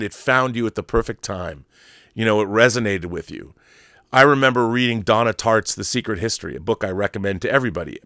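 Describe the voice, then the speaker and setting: A man's voice: fairly low pitch, a bit bright, no room sound, clean studio sound. A middle-aged man giving casual, rambling opinion commentary in an emphatic, conversational way, often digressing. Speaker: host of a film and book commentary show.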